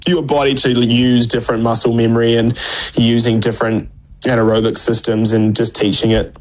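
A man, an endurance athlete, talking casually about training as a radio guest, in a laid-back, halting way. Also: mid-range voice, level tone, low-quality recording. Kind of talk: casual interview chat.

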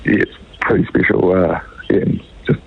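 Casting: New Zealand man, thirties, croaky and low, hesitant rugby player, muffled phone line. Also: fairly even intonation. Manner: halting, many fillers. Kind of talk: radio phone interview.

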